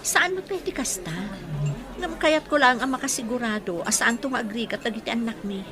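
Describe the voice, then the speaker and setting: A woman's voice: on the high side, fairly quick, natural voice. An actress playing a worried but determined wife and mother in an Ilocano radio drama, with an Ilocano accent. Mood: worried, insistent.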